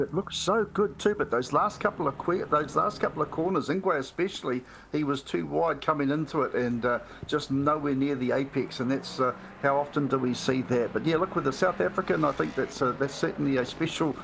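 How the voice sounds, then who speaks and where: A man's voice: medium pitch, vocal fry, a bit bright, a little hiss or hum, rough recording. A man giving live, conversational motor racing commentary, often asking rhetorical questions as he goes.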